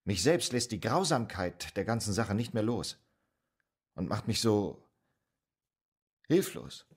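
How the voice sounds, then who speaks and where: Radio drama casting: deep-voiced, measured psychoanalyst, serious and troubled, period Vienna. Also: male voice, very animated, slightly rough, exaggerated voice. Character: earnest, troubled male psychoanalyst in 1920s Vienna. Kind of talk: German radio drama acting.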